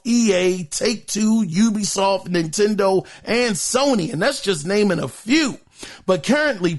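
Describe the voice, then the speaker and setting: A man hosting a gaming news podcast, talking casually with a hoarse voice and plenty of fillers, calling his listeners guys. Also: sits high. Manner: chatty, addresses listeners as guys, many fillers. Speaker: podcast host.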